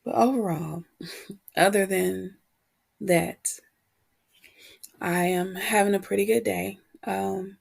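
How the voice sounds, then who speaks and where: A woman podcast host talking reflectively and candidly on her own, her voice husky and prone to cracking as she gets over a cold. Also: medium pitch, fairly even intonation, a little mellow. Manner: candid, unhurried, pausing between short phrases. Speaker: podcast host and motivational coach. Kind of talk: reflective solo podcast talk.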